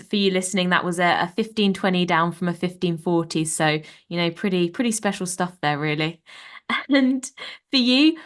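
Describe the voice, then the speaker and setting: A young woman hosting a podcast interview. She reads a scripted intro and then chats in an upbeat, encouraging way, full of praise. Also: lowish voice. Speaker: podcast host.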